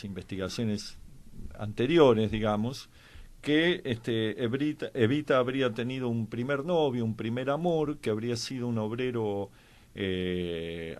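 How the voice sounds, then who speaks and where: Argentine man explaining history haltingly. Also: fairly low pitch. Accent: Argentine Spanish. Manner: halting, explanatory, with drawn-out pauses. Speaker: guest commentator on history. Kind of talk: informal historical discussion.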